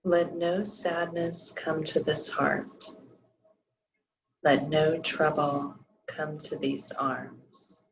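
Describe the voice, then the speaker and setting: Yoga teacher, woman, low-ish gravelly voice, losing it; slow soothing guidance. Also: level tone. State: losing her voice. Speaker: yoga instructor. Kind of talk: guided restorative yoga class. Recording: slightly dull lo-fi audio.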